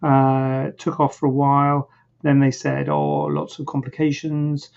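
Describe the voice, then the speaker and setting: A plastic surgeon hosting a live online Q&A, answering questions in a rambling, hesitant way, and a bit croaky. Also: male voice, medium pitch, completely flat delivery, unhurried, a little mellow. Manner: rambling, hesitant, many fillers and restarts. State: a bit croaky, clearing throat. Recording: dull, lo-fi livestream audio.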